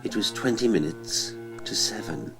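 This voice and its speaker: A man narrating a classic horror story in a measured, deliberate, dramatic way with weighty pauses. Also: medium pitch, animated. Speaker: audiobook narrator and podcast host. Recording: music behind the voice. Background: music.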